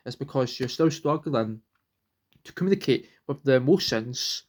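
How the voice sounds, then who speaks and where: A man's voice: mid-range voice, lively intonation, moderate pace, a little mellow. A young man, vlogging at home, rambling earnestly and restarting sentences as he searches for words. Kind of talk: rambling personal vlog.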